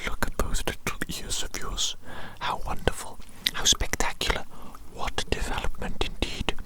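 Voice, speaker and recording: Performer acting out evil mad scientist, breathy whisper, ASMR roleplay, grandiose, rambling, repetitive, doting on a creation. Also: normal speed, natural voice. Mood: doting, intrigued. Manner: repetitive, grandiose, old-fashioned formal phrasing.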